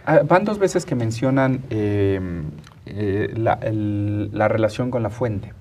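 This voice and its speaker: Co-host: male, 40s, lowish voice, conversational, slightly off-mic. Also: takes it slowly, darkish tone, far from the mic. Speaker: interviewer, co-host. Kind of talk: conversational studio interviewing. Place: TV studio, indoors, round table.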